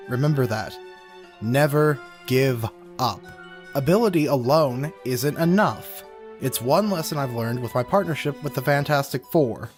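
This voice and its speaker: A man's voice: medium pitch, lightly acted. A man playing a confident, upbeat young superhero in a comic book dub, speaking in an earnest, encouraging way. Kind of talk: comic book dub acting, speech to students.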